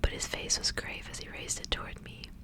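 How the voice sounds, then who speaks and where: Female ASMR reader for literary fiction. Whispers throughout, breathy and gentle, narrating in short phrases. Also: brisk. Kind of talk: ASMR whispered storybook reading.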